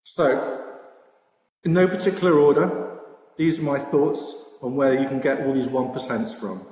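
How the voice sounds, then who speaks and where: A British man presenting at an industry conference with a slide talk, speaking haltingly and repeating words now and then. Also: mid-range voice, steady tone, a little mellow. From Britain. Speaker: industry conference presenter, sportsbook products director. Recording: echoey room with long reverb.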